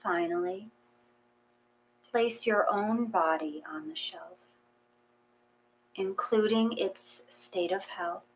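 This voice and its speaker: Female meditation reader: calm, gentle, unhurried delivery with generous pauses, noisy humming background. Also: mid-range voice. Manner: calm, measured, with long pauses between short phrases. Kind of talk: gentle guided meditation, read from a text.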